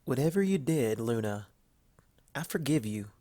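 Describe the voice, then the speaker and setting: A man's voice: medium pitch, lively intonation, warm tone, no echo, studio quality, close to an everyday voice. Man reading as an earnest, folksy farm mare. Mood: soft, forgiving. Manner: plain, folksy, halting speech. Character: earnest, hesitant female farm pony, loyal and forgiving. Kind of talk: fan fiction storybook reading.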